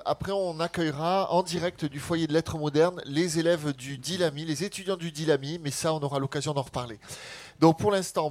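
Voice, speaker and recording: A man hosting live radio, chatting casually and pausing often with fillers. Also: on the high side, no echo. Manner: hesitant, many filler pauses. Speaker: radio host.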